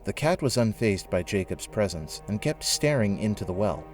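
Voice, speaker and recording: A low-pitched male narrator tells a scripted story for an audio drama. His delivery is measured, and music plays beneath it. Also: animated, a little mellow.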